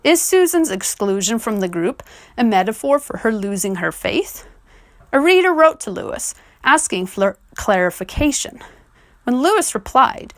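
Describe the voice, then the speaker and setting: A woman's voice: fairly high pitch, dry recording, studio quality. A woman delivering scripted video essay commentary in an articulate, questioning manner.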